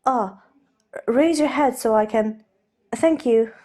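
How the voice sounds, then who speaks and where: A fussily polite gentleman sailor , acted out by a woman narrator, chattering in a lifted, nervous storybook voice. He is hesitant and flustered, babbling on with careful manners. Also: on the high side, takes it slowly, voice pushed up. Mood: polite, eager. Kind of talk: audiobook character voice.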